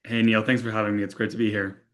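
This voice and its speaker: A man's voice: fairly low pitch, level tone, fast, a bit bright. A young man from Austria, a tech company co-founder, speaking as a guest in a casual podcast interview, talking things through with frequent fillers and restarts. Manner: conversational, many fillers and restarts.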